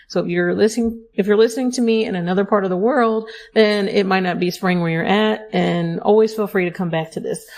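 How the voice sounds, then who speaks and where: A woman's voice: mid-range voice, a little mellow. Chatty woman podcast host, slightly scratchy. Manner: chatty, upbeat, self-correcting asides. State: scratchy voice from allergies. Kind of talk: affirmation podcast monologue.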